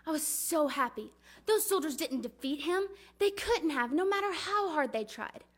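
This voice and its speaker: A woman performing a dramatic religious monologue as a grieving, devout mother recalling her son, speaking earnestly and deliberately. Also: sits high, expressive. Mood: hopeful, reverent. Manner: earnest, reverent, deliberate storytelling.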